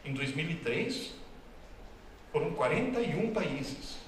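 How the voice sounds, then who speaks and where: A man's voice: on the high side, very animated, slow, a little hiss or hum. Man lecturing in an echoey hall. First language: Italian. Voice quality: wry, teasing, emphatic. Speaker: lecturing professor. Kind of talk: humorous public lecture. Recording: distant, very echoey hall recording.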